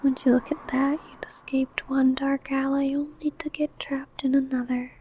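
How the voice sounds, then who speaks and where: A woman playing a seductive, possessive female vampire who stalks her prey in an intimate ASMR roleplay, teasing and menacing in a breathy, often whispered voice. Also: sits high, level tone, warm tone, slight background noise, close to an everyday voice. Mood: controlling, menacing. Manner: slow, teasing, menacing, deliberate.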